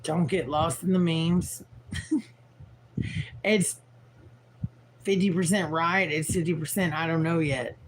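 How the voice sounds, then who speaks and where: A tired woman in her forties with a raspy voice, rambling frankly and swearing as she chats on a livestream. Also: low voice, fairly even intonation, slow pace, long pauses, a little hiss or hum. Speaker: livestream host. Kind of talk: casual livestream chat.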